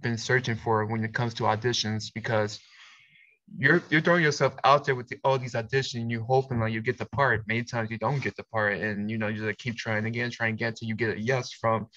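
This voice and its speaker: A man's voice: medium pitch, steady tone, a little fast, a bit bright. A man hosting a casual interview, speaking hesitantly with many fillers. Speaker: interview host. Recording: dull lo-fi mic.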